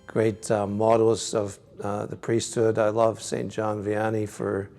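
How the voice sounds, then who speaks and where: A middle-aged Scottish man, a priest, answering interview questions in a gentle, reflective way with frequent soft laughs. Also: fairly low pitch, monotone, a little slow, faint music behind. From Scotland.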